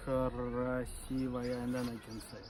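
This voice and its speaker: A man in his forties with a Russian accent talks through his stutter, blocking on sounds and repeating them in casual conversation. His voice sits at an ordinary middle pitch. The recording is noisy, taken outdoors with birds calling behind him. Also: flat delivery, slow pace. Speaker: interview guest.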